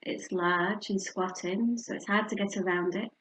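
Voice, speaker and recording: A woman's voice: medium pitch, steady tone, normal speed, a bit bright, rough recording. A middle-aged woman from the north of England, her Yorkshire accent plain, talks to a community group in a candid, personal way. She leans on fillers and restarts as she tells her story. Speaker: speaker from a hospice charity. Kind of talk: community talk with slides.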